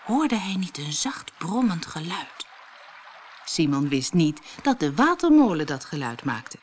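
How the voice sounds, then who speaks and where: Woman narrator, lower-pitched voice, highly varied intonation, scripted children's tale, expressive storytelling. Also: a little slow, a little hiss or hum. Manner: expressive storytelling, acts out dialogue. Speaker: audiobook narrator.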